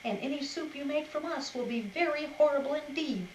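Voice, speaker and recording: A woman voicing a cheerful female rabbit in a storybook, with a high, eager, squeaky way of talking. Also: sing-song, unhurried, reverberant, a little hiss or hum. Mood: mock grumbly.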